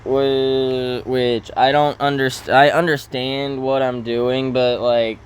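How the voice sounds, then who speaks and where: A man giving casual commentary over farming gameplay, halting and often correcting himself as he thinks aloud. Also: medium pitch, flat delivery, clear, resonant tone. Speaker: gaming video host.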